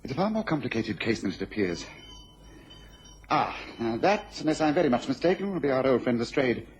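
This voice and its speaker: An actor playing a keen, self-assured Victorian gentleman detective in a radio drama, brisk and emphatic, with a British accent and a husky voice. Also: medium pitch, big pitch swings, slight background noise, natural voice, rough recording. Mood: musing.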